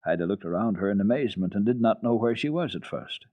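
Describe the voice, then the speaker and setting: A man reading children's literature as a radio storyteller, in an expressive, dramatic style. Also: lowish voice, fast, dull audio. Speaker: storyteller, radio program host.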